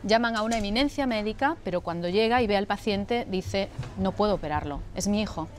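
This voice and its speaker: A woman in a played video clip, reading out a riddle in a clear scripted narration. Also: mid-range voice, brisk, slight background noise.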